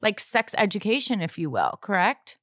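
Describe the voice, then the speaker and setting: A woman's voice: medium pitch, sing-song, moderate pace, fairly bright tone, no echo, clean studio sound. A woman co-hosting a podcast, chatting casually in a bubbly, enthusiastic way and giggling often. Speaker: podcast co-host.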